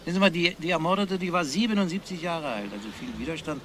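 An actor playing an unhurried, dryly probing police detective in a German crime radio play. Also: male voice, a little high, expressive, fairly bright tone, a little hiss or hum. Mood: measured, probing.

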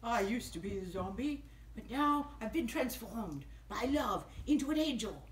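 A man's voice: very high voice, expressive, normal speed, echoey room, a little hiss or hum, pitched above the performer's own voice. A man voicing a serene, lofty, ancient angel in a children's puppet show.

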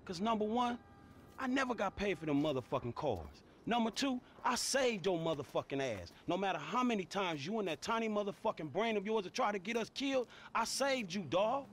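An actor playing an exasperated, defensive young American street hustler in a video game, slangy and profane. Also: male voice, high voice, animated, brisk, a bit bright, slight background noise. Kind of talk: video game cutscene acting.

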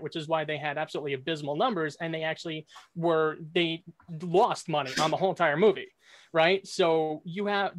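Higher-pitched young man arguing a point. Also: a bit bright. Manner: opinionated, wordy. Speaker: debate contestant. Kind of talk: casual debate argument. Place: small room at home, video call.

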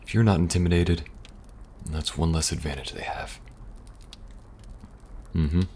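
An extremely low, fry-laden voice from a male actor gives a young male special agent a soft, protective air. He reassures gently and a little hesitantly, with wry humor, in an ASMR audio roleplay. Noisy ambience sits behind him. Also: measured pace. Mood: steady, encouraging. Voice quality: gravelly, breathy at times. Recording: audible background noise, ambience.

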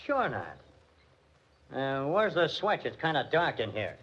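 An actor playing a blunt, suspicious police lieutenant in a 1940s radio drama, with an American accent and a colloquial hardboiled manner. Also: male voice, medium pitch, huge pitch swings, a little slow, very noisy. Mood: obliging. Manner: hardboiled, colloquial, hesitant interjections. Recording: muffled vintage 1940s radio recording.

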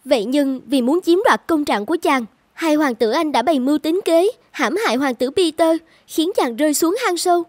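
A woman's voice: high voice, normal speed, few pauses, darkish tone, no room sound, close to an everyday voice. A woman voicing a proud, choosy young princess in a fairy-tale cartoon. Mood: earnest accusing.

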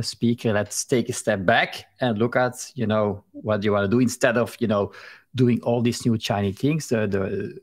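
A man's voice: fairly low pitch, a little mellow. Belgian-accented man hosting a video call. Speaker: host. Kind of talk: interview host on video call. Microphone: headphones with mic on a video call.

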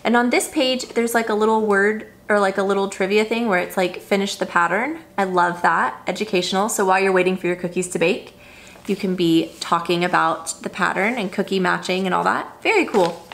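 A woman's voice: mid-range voice, fairly quick, gravelly, fairly bright tone. A woman in her thirties chatting through an unboxing in her home kitchen, upbeat and gushing over each item. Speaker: vlogger. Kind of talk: casual unboxing chat.

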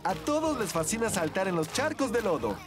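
Animated female cartoon narrator over loud music. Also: medium pitch, brisk. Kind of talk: scripted narration for a children's cartoon.

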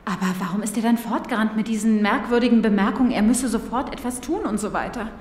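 A woman's voice: fairly high pitch, quick pace, lightly acted. Roomy radio play: actress as polite young woman consulting a detective, earnest, puzzled, slightly distant from mic. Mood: puzzled, questioning. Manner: polite, questioning. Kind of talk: German radio play acting. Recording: roomy, distant, faint noise.

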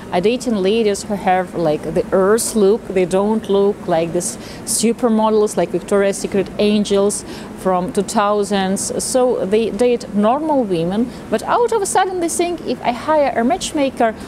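A woman in her thirties talking to camera outdoors in a city street, explaining her matchmaking work in an animated way and acting out remarks. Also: mid-range voice, measured pace, noisy background. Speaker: matchmaker presenting a video channel.

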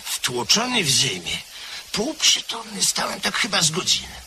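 An actor playing a nervous, short-sighted gentleman who stammers through an anxious retelling in a radio play. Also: on the high side, wildly swooping pitch, moderate pace, full character voice. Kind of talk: radio drama acting. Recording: old radio play with faint hiss.

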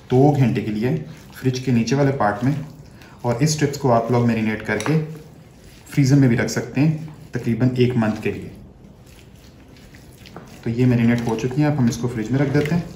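A man's voice: medium pitch, warm tone. A man in his thirties, a chef, giving a step-by-step cooking demonstration in a home kitchen while working with his hands. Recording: echoey kitchen, faint background noise.

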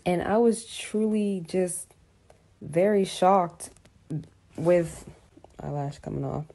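A young woman giving a casual, teasing comedic reaction into a phone, halting for emphasis. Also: on the low side, warm tone. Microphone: phone at arm's length.

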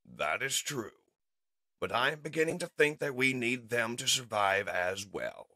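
A man playing a noble robot leader who speaks in a solemn, measured way in a comic dub. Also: medium pitch, big pitch swings, pushed and bright, dry recording, exaggerated voice. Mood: somber, reflective. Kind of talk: dramatic comic dub acting.

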